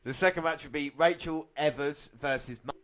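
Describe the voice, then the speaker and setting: A man's voice: fairly high pitch, a little fast, fairly bright tone. A man co-hosting a podcast, chatting casually about sport. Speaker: podcast co-host.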